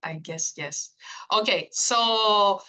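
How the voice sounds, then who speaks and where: Bright-voiced Greek-accented woman lecturing. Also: mid-range voice, slow. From Greece. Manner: frequent "uh" fillers, earnest and explanatory. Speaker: associate professor giving a keynote. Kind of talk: online lecture with slides. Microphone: video call.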